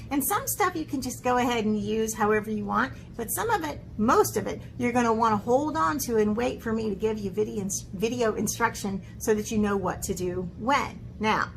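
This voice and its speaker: A woman with a fairly high voice hosts an instructional art video for children. She is chatty and encouraging, regularly checking that listeners follow along. There is clear background noise behind her. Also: a bit bright, low-quality recording. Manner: encouraging, chatty, frequent check-in tags like "okay?". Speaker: children's art teacher and host. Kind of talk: instructional video talk to children, with read-aloud.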